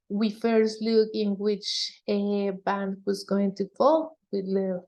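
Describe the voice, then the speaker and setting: Slow, dark-voiced woman presenting research. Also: mid-range voice. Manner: halting, frequent hesitations. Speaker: researcher presenting a conference talk. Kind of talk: technical slide presentation.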